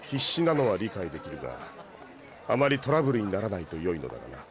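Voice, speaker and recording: A man playing a stoic, protective old cyborg, speaking in a measured, formal, dryly serious way in an anime audio drama. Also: low voice, big pitch swings. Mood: dry concern. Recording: faint street crowd behind.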